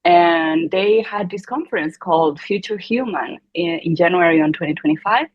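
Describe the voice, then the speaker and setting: Chilean woman guest, husky lowish voice, kinda muffled dull sound. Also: normal speed, no room sound. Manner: animated, quoting her own thoughts with gasps. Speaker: podcast guest. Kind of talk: conversational interview.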